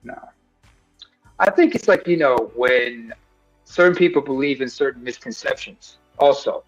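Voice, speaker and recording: A man's voice: mid-range voice, animated, a little slow, no echo, highs cut off, soft background music. A man co-hosting a live stream, talking earnestly in long, rambling thoughts full of fillers. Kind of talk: casual discussion.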